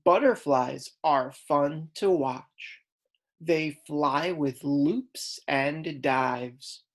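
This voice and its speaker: A woman reading aloud as a kindergarten teacher, in a deliberate, instructional way that stresses key words. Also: deep voice. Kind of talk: read-aloud lesson for young children.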